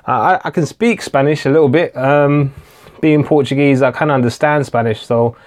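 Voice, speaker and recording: A man's voice: mid-range voice, moderate pace. Chatty man hosting a live stream. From England. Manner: chatty, hesitant, many fillers and repeated starts; reads viewer comments aloud and answers them. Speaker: tech channel host.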